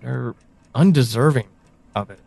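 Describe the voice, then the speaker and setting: A man playing a brooding, knowing confidant in an audio drama monologue, speaking deliberately to one listener with pointed pauses. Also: mid-range voice, vocal fry, dark tone, husky, no room sound. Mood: reassuring, grave. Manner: deliberate, halting delivery with sighs and pointed pauses. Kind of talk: audio drama monologue, second-person address.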